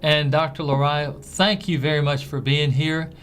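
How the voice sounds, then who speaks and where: A man hosting a TV interview show, asking questions in a halting way and often repeating his words. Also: on the high side, steady tone, fairly bright tone, a little hiss or hum. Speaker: host.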